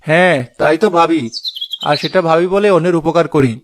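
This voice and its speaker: A man's voice: on the high side, steady tone, fairly quick, a little hiss or hum, lightly acted. A man voicing a good-hearted, confident village husband in a cartoon, reasoning and unflustered. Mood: pleading, earnest. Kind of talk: cartoon voice acting.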